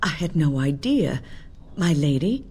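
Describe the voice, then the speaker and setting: Soft, husky, low-voiced gentle companion. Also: female voice, big pitch swings, a little slow, full character voice. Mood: curious, shocked. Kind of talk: fantasy video game dialogue.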